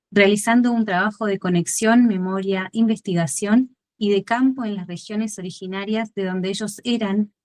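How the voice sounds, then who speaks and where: A woman's voice: mid-range voice, darkish tone, dry recording. A woman host giving a formal introduction, reading out an artist's biography.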